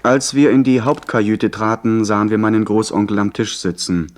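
Earnest, lowish-voiced storyteller played by a man. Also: steady tone, moderate pace, natural voice. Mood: measured. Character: earnest male narrator recounting his sea adventure. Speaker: narrator. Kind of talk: scripted radio play narration.

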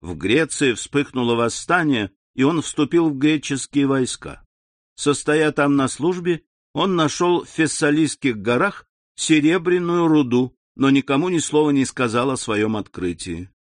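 A man narrating a classic novel as an audiobook, in an even, measured reading on a close studio mic. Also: on the high side, no room sound.

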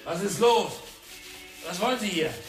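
In a reverberant room with music behind, a surly workman from a radio crime drama. His voice is pitched high. He is gruff, brusque and dismissive. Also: expressive, moderate pace. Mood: hostile. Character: surly, dismissive male workman guarding an empty flat. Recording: echoey room, loud background sound.

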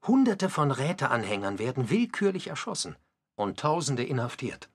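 A man narrating a scripted radio documentary drama in a husky voice. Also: medium pitch, very animated, moderate pace. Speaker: narrator and commentator.

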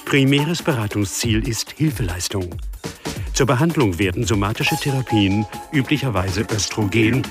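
An actor playing a formal, matter-of-fact narrator reading a scientific report in a radio drama. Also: male voice, on the low side, animated, moderate pace, over music, lightly acted. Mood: sober, factual. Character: sober, factual male narrator of a scientific report.

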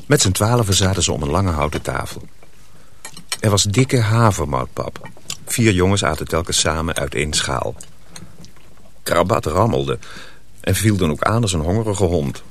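A male narrator tells a story for a radio drama. His pitch moves in a lively way and his delivery is deliberate and suspenseful, with faint noise behind him.

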